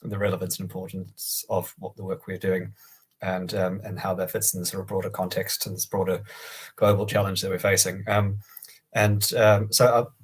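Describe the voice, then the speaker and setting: A man with a cold, sounding croaky, giving a hesitant online presentation full of ums and restarts over a video call. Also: deep voice, little pitch movement, moderate pace.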